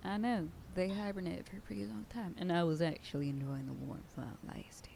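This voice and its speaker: A woman's voice: on the low side, steady tone, a little fast, gravelly, mellow, a little hiss or hum. A woman voicing a bossy female werewolf sheriff who lectures her pack in an ASMR roleplay, sometimes dropping to a whisper. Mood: stern briefing. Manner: stern, commanding, lectures a group. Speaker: voice actor.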